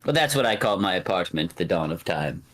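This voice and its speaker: A man's voice: low voice, sing-song, a little fast, fairly bright tone. A man playing a tabletop game, improvising casual comedy in character with a dry, mock-serious manner.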